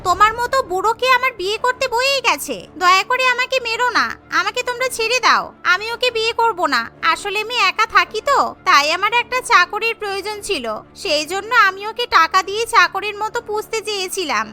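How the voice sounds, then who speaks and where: A woman acts out a rich, scheming old lady for a cartoon. Her voice is extremely high and bright, coaxing and haughty about money, with music behind. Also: fairly quick, full character voice. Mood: defensive pleading. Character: rich, doting, scheming elderly girlfriend. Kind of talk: Bengali cartoon voice acting.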